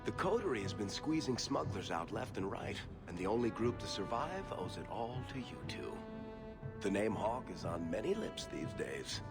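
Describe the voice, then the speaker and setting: An actor playing a wry, smooth-talking dwarf rogue and dealmaker in a video game, persuasive and glib. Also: male voice, lowish voice, sing-song, normal speed. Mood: smooth, flattering. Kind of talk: video game cutscene dialogue. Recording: music behind the voice. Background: background music.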